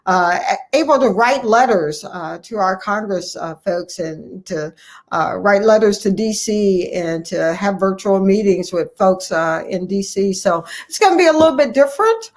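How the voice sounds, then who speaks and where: Woman, American accent, mid-pitched voice, earnest interview guest, emphatic, repeats key phrases. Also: lively intonation, moderate pace. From United States, Ohio. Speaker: guest, family physician and medical association president-elect. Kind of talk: earnest conversational interview answers.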